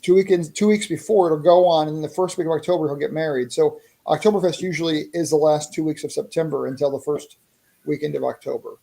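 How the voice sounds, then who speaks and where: A man's voice: fairly high pitch, fairly even intonation, quick pace, vocal fry, dark tone, rough recording. A man talking casually as a guest on a podcast, enthusiastic and explanatory, often repeating his phrases. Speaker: interview guest, indie comic creator promoting a fighting game.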